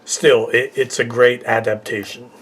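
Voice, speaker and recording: A man's voice: mid-range voice, level tone, measured pace, a bit bright, breathy edge, soft background music. A middle-aged man hosting a casual top-ten review video, talking informally. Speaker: vlog host, reviewer.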